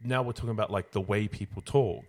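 A man's voice: fairly low pitch, fast talker, darkish tone. A man co-hosting a podcast, pressing his points with question after question in a casual debate. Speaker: podcast co-host.

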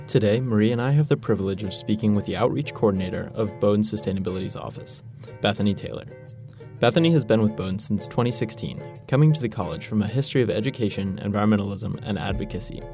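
A young man co-hosting a student interview reads a formal introduction at a quick clip. His low voice has a gravelly edge, and soft music plays faintly underneath. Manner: formal, listing credentials. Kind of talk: reading a scripted introduction.

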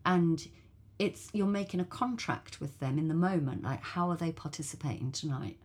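Low husky woman storyteller chatting. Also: animated, moderate pace. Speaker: storyteller guest. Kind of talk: casual podcast chat.

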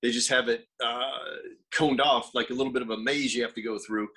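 Husky, bright-voiced laid-back man. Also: medium pitch, steady tone, brisk. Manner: laid-back, swears casually, says dude. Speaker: podcast co-host. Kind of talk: casual chat.

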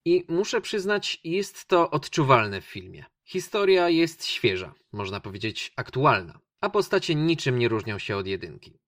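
A man's voice: mid-range voice, very animated, normal speed, few pauses, fairly bright tone, no room sound, clean studio sound. A man with a Polish accent presenting a scripted film review as a radio host.

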